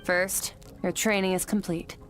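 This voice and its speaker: A woman's voice: fairly low pitch, level tone, measured pace, a bit bright. A woman playing an aloof, stoic warrior mentor in an anime game, speaking in a cool, composed manner. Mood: composed, businesslike. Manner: cool, composed, matter-of-fact. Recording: faint music behind the voice.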